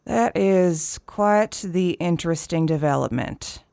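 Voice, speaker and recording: A woman's voice: fairly low pitch, slow pace, gravelly, dark tone, clean tone. An actress playing an elderly, folksy small-town woman, teasing and sardonic, in an audio drama. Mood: sly, casual. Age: older. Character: sly, folksy, gun-toting elderly desert townswoman.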